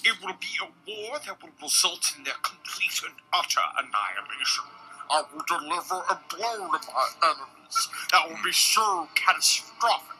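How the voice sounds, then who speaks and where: Man putting on a pompous, bitter young loner, bright voice. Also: fairly high pitch, lively intonation, moderate pace, soft background music, poor audio. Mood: menacing, vengeful. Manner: pompous, melodramatic declaiming. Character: grandiose, wounded, bitter young male loner.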